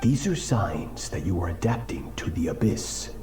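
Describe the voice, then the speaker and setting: An actor playing a cold, merciless sword master in a video game, speaking in a solemn, commanding and deliberate manner. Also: male voice, deep voice, very animated, darkish tone, reverberant, a little hiss or hum. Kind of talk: dramatic video game voice acting.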